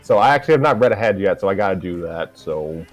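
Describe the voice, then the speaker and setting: A man's voice: sits low, lively intonation, quick pace. A man hosting a casual show, speaking with a dry, mildly exasperated manner. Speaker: host of a fan fiction reading show. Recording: music playing behind the voice.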